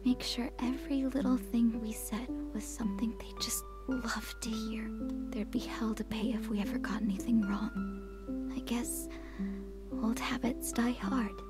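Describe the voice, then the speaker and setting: A woman playing a troubled, apologetic, grieving teenage girl in a visual novel, speaking softly and hesitantly. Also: on the high side, fairly even intonation, a little slow, in a whisper, natural voice. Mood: anxious, apologetic. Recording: music behind the voice.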